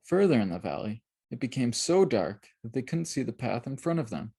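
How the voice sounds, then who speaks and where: Animated storyteller with a creaky edge. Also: mid-range voice, brisk. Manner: dramatic, expressive storyteller. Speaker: author reading their children's book aloud. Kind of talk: scripted storybook narration.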